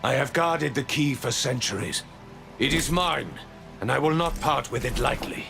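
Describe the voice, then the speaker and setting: An actor playing a proud and weary angel ruler, speaking in a grand, measured and prophetic way in a video game cutscene. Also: male voice, mid-range voice, vocal fry, pushed and bright, soft background music. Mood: grave.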